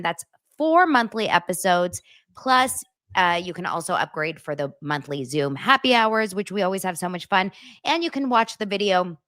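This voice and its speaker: A woman's voice: mid-range voice, pushed and bright. Chatty middle-aged woman podcast host. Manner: chatty, quick, laughing asides. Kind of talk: casual pop culture chat.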